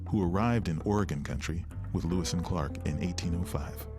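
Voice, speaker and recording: Male narrator, low-pitched, scripted history documentary read over clear guitar music. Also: a little fast. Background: plucked guitar music.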